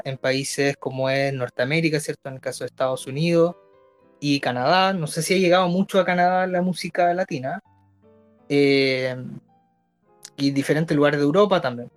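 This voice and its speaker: Male co-host, Chilean accent, somewhat high register, casual and hesitant discussion, faint music underneath. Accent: Chilean Spanish. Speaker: radio show co-host. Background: background music.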